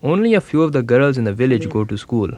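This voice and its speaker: A man voicing a young village schoolboy, telling his story in a calm, measured documentary voice-over. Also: medium pitch, crowd noise, natural voice. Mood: earnest. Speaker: documentary narrator. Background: music.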